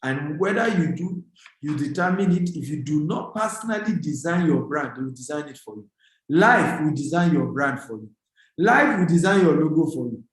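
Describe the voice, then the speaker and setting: A man's voice: fairly high pitch, animated, normal speed. A man with a Nigerian accent giving an emphatic motivational business talk, exhorting his online audience and repeating key phrases. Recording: very echoey, reverberant room.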